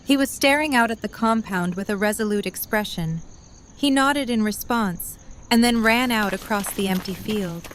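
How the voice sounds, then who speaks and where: A woman's voice: medium pitch, normal speed, a little hiss or hum. A woman narrator reading a scripted story for an audio drama.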